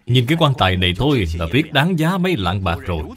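A man's voice: medium pitch, big pitch swings, fast, natural voice. A man voicing a suspicious, greedy, grudging uncle in a cartoon, scornful and insinuating.

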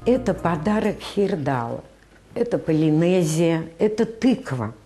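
An older woman giving a museum tour, her voice low and mellow. She speaks slowly and hesitantly, pausing with filler sounds as she explains objects. Clipped to a lapel mic indoors. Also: lively intonation, soft background music. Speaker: museum guide.

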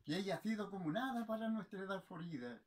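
A man reciting patriotic poetry in a solemn, impassioned, oratorical manner, with theatrical delivery and dramatic pauses. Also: high voice, animated.

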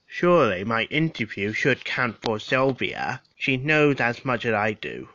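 A man playing a defensive gentleman suspect in an audio drama, formal and earnest. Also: medium pitch, big pitch swings, measured pace, highs cut off. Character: defensive, well-spoken gentleman murder suspect. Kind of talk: scripted audio drama acting.

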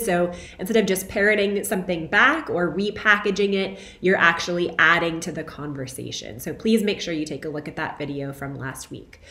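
Hoarse young woman teacher, chatty webcam feedback, echoey room. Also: mid-range voice, a bit bright. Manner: conversational, frequent "right?" and "um" fillers. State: hoarse from being sick. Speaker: instructor. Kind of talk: recorded lecture feedback to students. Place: small room at home, indoors. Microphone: webcam, video call framing.

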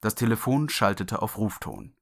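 Low dry male narrator. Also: huge pitch swings, normal speed, creaky, fairly bright tone, no room sound. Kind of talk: scripted narration.

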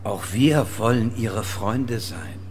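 A man's voice: on the low side, slow pace. An actor playing an earnest, distressed male scientist in a radio drama. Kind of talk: German radio drama acting.

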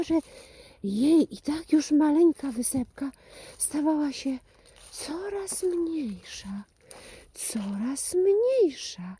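Slow, high-voiced woman telling a fairy tale. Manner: hushed, breathy drops, repeats phrases for suspense. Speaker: children's storyteller. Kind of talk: expressive fairy-tale narration for children.

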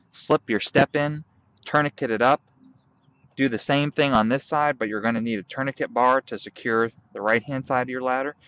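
A young man outdoors in a field, calmly walking through a hands-on tutorial step by step while he works with his hands. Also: mid-range voice, highs cut off. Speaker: bushcraft instructor.

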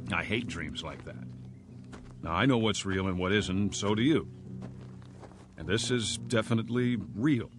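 Deep-voiced, gravelly, bewildered man over music. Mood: rambling, reassuring himself. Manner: halting, stammering, self-questioning. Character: bewildered amnesiac man, rambling and nervously chatty. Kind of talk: radio drama first-person monologue.

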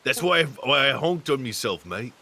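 A man's voice: medium pitch, sing-song, normal speed, vocal fry, a crowd in the background. A man voicing a grubby, diseased fellow with put-on who tacks mate or bruv onto every line. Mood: blokey. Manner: ends lines with mate or bruv, laughing. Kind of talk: tabletop roleplay.

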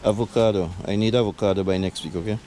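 A man's voice: fairly low pitch, quick pace, slight background noise. A middle-aged man from Saint Vincent with a Vincentian accent, chatting casually as he shows his backyard garden, laughing often. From Saint Vincent and the Grenadines. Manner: relaxed, chatty, laughs often, creole phrasing. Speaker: vlogger giving a garden tour. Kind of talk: casual chat.

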